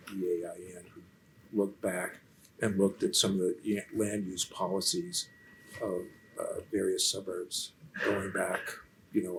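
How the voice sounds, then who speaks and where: A soft-spoken man, lecturing and answering questions in a halting way, with many restarts. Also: low voice, slight background noise, poor audio. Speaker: featured lecturer in a Q&A. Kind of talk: unscripted lecture conversation.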